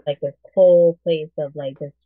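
Low-pitched woman on a tinny phone-like line. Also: steady tone, normal speed, no echo. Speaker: podcast co-host. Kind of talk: casual chat, telling anecdotes.

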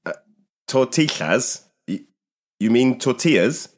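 A man's voice: medium pitch, animated, slow. A man playing a baffled cooking show presenter in a comedy sketch. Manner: baffled, protesting.

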